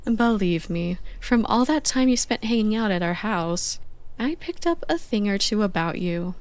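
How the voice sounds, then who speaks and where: A woman playing a playful, bossy young girlfriend on a slow morning in an intimate, conversational ASMR roleplay. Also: medium pitch, lively intonation, smooth, dry recording, natural voice. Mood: smug, teasing.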